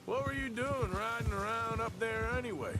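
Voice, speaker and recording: An actor playing a seasoned, skeptical western outlaw in a video game, with a dry, needling manner. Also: male voice, extremely high pitch, moderate pace, low-quality recording. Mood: wry. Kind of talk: video game cutscene acting. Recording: game audio under loud music. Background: loud music, horse hooves.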